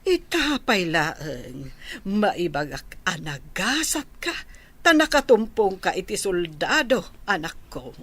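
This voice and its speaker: A woman's voice: fairly low pitch, very animated, slow, a bit bright, heavily acted. A woman acting a regretful, nagging village mother in a radio drama, with an Ilocano accent. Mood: probing.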